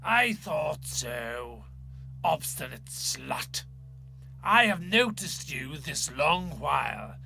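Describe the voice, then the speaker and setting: A man acting a stern, pompous Irish parish priest in a dramatic story reading, slow and commanding in his delivery. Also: on the high side, big pitch swings, vocal fry, sharp tone, exaggerated voice. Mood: contemptuous. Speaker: actor.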